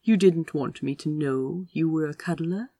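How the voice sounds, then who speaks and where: A woman's voice: sits low, measured pace, mellow, pitched below the performer's own voice. A woman voicing a playful, fussy man in an audio story, with a prim, mock-serious, teasing manner.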